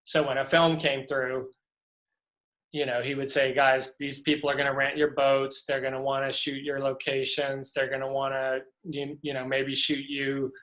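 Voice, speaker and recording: A man's voice: medium pitch, completely flat delivery, moderate pace, fairly bright tone, rough recording. Man from louisiana, middle-aged, rambling storyteller, plenty of fillers and restarts, video call interview. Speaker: prop master guest.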